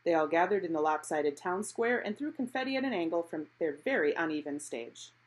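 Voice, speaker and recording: A woman reading a picture book aloud expressively, in an animated storytelling manner. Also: fairly low pitch, brisk, low-quality recording. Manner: animated, acting out characters' exclamations.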